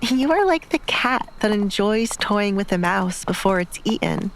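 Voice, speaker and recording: A woman's voice: mid-range voice, animated, a little slow, a little hiss or hum, voice pushed up, rough recording. A woman narrator voicing a polite, playful young bride in an audio drama. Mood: teasing.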